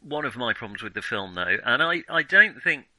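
A man's voice: mid-range voice, very animated, moderate pace, pushed and bright, no echo, low-quality recording. A man co-hosting a casual film discussion, talking hesitantly and often circling back to rephrase himself. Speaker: film discussion podcast co-host. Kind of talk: casual film review chat.

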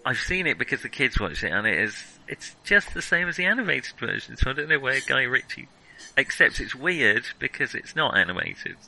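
Animated man with a bright, forceful voice. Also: medium pitch, brisk, vocal fry, low-quality recording. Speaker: podcast co-host. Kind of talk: casual film chat.

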